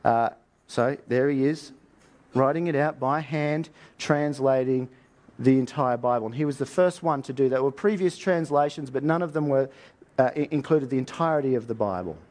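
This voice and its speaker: A man's voice: mid-range voice, moderate pace, darkish tone. A man giving a conversational, lecture-like sermon in an informal, colloquial storytelling way, often posing rhetorical questions. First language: English. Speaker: preacher teaching on Bible history.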